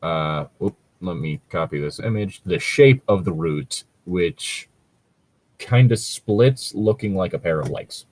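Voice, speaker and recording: A man's voice: sits low, animated, slow, darkish tone. A man casually reading and critiquing a story as a podcast host, rambling, sweary and critical. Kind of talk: casual commentary with read-aloud passages.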